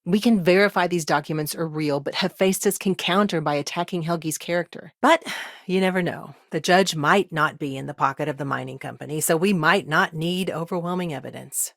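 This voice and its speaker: An actress voicing a busy, pragmatic, principled woman lawyer in a video game, speaking in a businesslike, frank, measured conversational way with dry asides. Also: low-pitched, vocal fry, no room sound.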